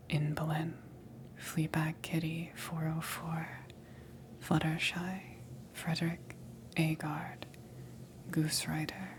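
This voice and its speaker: A woman who makes ASMR videos, softly whispering credits in a measured way. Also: low voice, noisy background.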